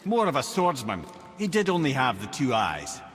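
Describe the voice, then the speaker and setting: A lilting old Scottish storyteller. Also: male voice, medium pitch, wildly swooping pitch, moderate pace, fairly bright tone, soft background music. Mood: dry, wry. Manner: wry, lilting, theatrical. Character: old male talking head, wry storytelling former advisor of gods. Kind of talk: dramatic video game storytelling.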